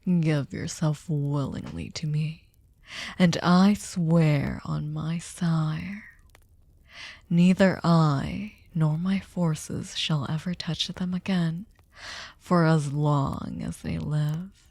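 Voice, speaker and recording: Ancient female vampire actress, ASMR roleplay: lowish pitch, dry close sound, manipulative, taunting, slips into breathy whispers. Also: steady tone, darkish tone, no room sound, heavily acted. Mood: coaxing, possessive. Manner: slow, theatrical, taunting, often dropping into breathy whispers. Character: sadistic, possessive, manipulative centuries-old female vampire lord. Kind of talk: intimate ASMR roleplay monologue.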